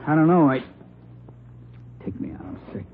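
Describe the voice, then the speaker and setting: An actor playing a defiant, cornered crook with a tough, slangy, sneering manner in a 1940s radio crime drama. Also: male voice, on the high side, big pitch swings, normal speed. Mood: panicked, pleading. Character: defiant male crook under questioning, cornered and squeamish. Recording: tinny, hissy 1940s radio recording.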